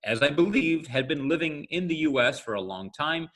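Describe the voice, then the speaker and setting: Guy in his 40s giving a talk, lots of uh pauses, chatty and frank. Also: fairly high pitch, animated, fairly bright tone. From United States. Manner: conversational, many "uh" pauses. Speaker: guest speaker. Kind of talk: candid talk to an audience.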